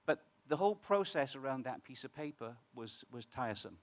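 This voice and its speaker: British male speaker, mid pitch, chatty conference presenter who restarts phrases and talks to the crowd. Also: lively intonation, brisk. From United Kingdom. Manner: conversational, many restarts, asks the audience questions. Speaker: fintech founder presenting on stage. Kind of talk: conference talk to a live audience. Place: conference hall with audience.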